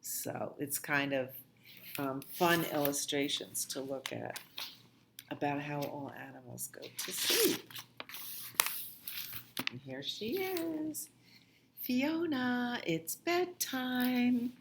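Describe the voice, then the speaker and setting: A woman with a low voice leads a storytime for small children. She takes her time, speaking very slowly with a gentle, expressive lilt. Her delivery is sing-song and often softens toward a hush. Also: noisy background. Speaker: children's librarian and storytime host. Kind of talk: children's storytime with read-aloud and nursery rhymes.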